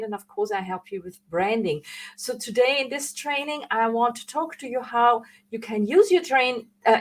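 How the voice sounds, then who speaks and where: German-accented woman coaching with halting restarts. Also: a little high. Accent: Austrian German. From Austria. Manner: earnest, halting, frequent self-corrections and fillers. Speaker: branding coach. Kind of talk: live training talk.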